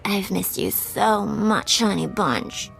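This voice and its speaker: A woman's voice: medium pitch, expressive, slow, gravelly, soft background music, heavily acted, rough recording. A woman playing a flirty, deceitful, lovesick starship captain in a video game, with a coy, sugary manner. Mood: flirty, gleeful. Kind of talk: video game cutscene acting.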